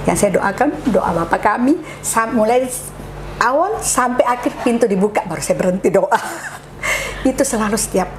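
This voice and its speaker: An older woman, a cancer survivor guest, telling her story in a casual, halting way, acting out what others said to her. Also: a little high, slight background noise. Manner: chatty, halting, lively quoted asides.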